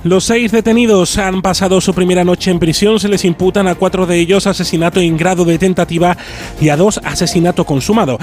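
A man's voice: sits high, fairly even intonation, brisk, a little mellow, faint music behind. A man delivering a scripted report as a regional radio news reporter.

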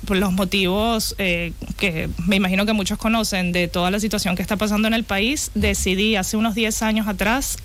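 A woman with a Venezuelan accent speaks as a guest on a radio show. She talks in a relaxed, reflective way, stopping often to search for her words. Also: mid-range voice, a little hiss or hum. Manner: relaxed, reflective, with frequent "eh" pauses. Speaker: guest artist. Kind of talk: radio interview.

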